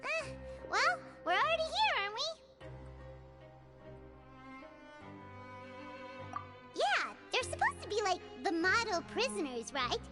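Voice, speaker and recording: Tiny chirpy fairy kid for a game, super squeaky and curious, swoopy pitch, loud music under it. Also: female voice, big pitch swings, normal speed. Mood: curious, nosy. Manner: childlike, speaks of self in third person. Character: small childlike floating fairy companion, curious, chirpy, female. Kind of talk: English video game dub acting.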